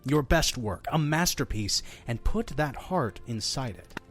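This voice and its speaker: A man's voice: mid-range voice, animated, measured pace, a bit bright, faint music behind. A man playing a friendly, mysterious innkeeper who tells stories in an audio drama, earnest and persuasive with a folksy touch. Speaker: innkeeper storyteller. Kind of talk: audio drama storytelling and dialogue.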